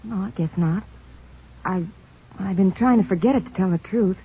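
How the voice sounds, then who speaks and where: An actress playing a frightened young woman witness in a 1940s radio drama, halting and stammering as she speaks. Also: medium pitch, breathy edge. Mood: shaken. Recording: dull 1940s radio recording.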